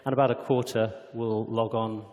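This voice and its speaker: A man's voice: fairly low pitch, flat delivery, normal speed, phone-quality sound. Man presenting in an echoey hall. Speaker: company executive presenting a product demo. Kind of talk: conference product demonstration. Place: conference hall stage. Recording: echoey hall with long reverb.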